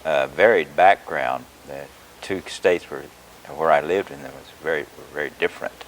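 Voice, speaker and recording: An older man from Oklahoma, a retired education professor, answering interview questions in a measured, hesitant way with many filler pauses. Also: a bass voice, a little hiss or hum, rough recording.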